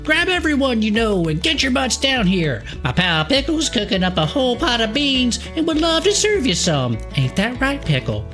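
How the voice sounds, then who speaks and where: Manic fantasy-shop pitch-seller, raised voice, folksy hollering, parody radio ad. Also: female voice, very animated, normal speed, few pauses, fairly bright tone, faint music behind. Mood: manic selling. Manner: hard-sell hollering. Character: crazy, loud, folksy huckster of a magic curiosity shop. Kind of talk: parody local shop commercial.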